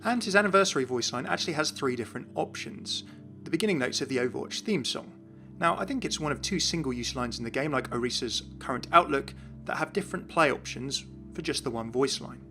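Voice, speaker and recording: A man's voice: medium pitch, expressive, brisk, music in the background. A man giving an explanatory voice-over as the host of a game lore series.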